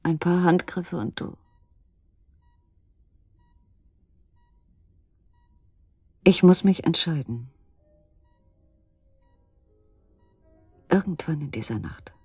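Desperate wife in a radio drama, husky, lowish, halting n intense, goes whispery. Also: female voice, expressive, darkish tone, no echo, studio quality, faint music behind, heavily acted. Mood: torn, despairing. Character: anguished, desperate wife and mother at her husband's bedside. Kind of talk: German radio drama acting.

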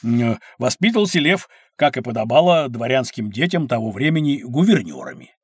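Animated, kindly uncle. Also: male voice, medium pitch, normal speed, husky, dry recording, clean studio sound. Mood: explanatory, kindly. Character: kindly, knowledgeable uncle teaching children. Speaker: storyteller uncle explaining to children. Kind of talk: scripted educational audio drama.

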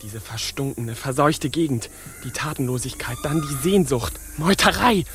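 An actor playing a frightened captive poet in a radio play, pleading anxiously in florid, pompous phrases. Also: male voice, medium pitch, moderate pace, fairly bright tone, slight background noise, lightly acted. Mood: dread. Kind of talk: stage-like radio play acting.

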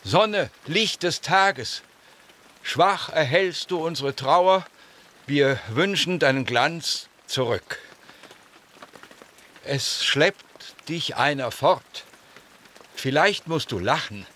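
An actor playing a blunt, emotional, stubborn gardener in a radio drama, with an emphatic, declamatory way of talking. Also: male voice, a little high, expressive, extremely slow, pauses often, bright, forceful tone, exaggerated voice. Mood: solemn, mournful. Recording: rain noise behind the voice.

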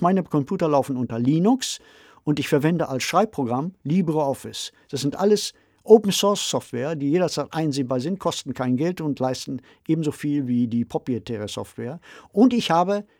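A middle-aged or older man talks as a podcast co-host. His voice is very mellow and slightly high for a man. He phrases things carefully and articulately, and the sound is close and dry. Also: dry recording. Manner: measured, deliberate, articulate. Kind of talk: conversational podcast discussion.

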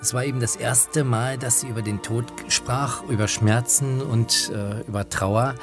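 A man speaking reflectively, with hesitant pauses, as he recalls memories for a radio documentary. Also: mid-range voice, steady tone. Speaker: interviewer recalling people he interviewed. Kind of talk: spoken reminiscence in a radio documentary. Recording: music behind the voice.

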